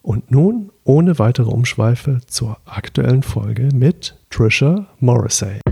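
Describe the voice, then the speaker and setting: A man hosts a podcast in a chatty, friendly way. His voice has a gravelly, creaky texture. He sits at a middle pitch. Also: animated, slow, dark tone. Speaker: podcast host. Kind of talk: casual podcast interview.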